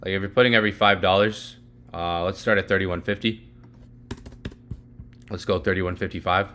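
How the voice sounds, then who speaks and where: A young man teaching live, walking through a price chart in casual, rambling talk full of "like" and "literally", his voice going hoarse and his throat needing clearing. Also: low voice, steady tone, moderate pace, sharp tone, a little hiss or hum. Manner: rambling, heavy filler "like" and "literally". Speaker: trading educator.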